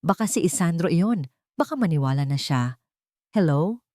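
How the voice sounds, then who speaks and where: Female narrator, low pitch, solemn melodramatic delivery, scripted love story, dry sound. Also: expressive, normal speed, a little mellow, no echo.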